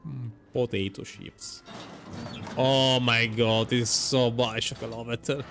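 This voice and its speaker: A man's voice: mid-range voice, level tone, unhurried. A man giving casual, joking let's-play commentary as he reacts to a video game. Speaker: gaming streamer. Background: faint game music.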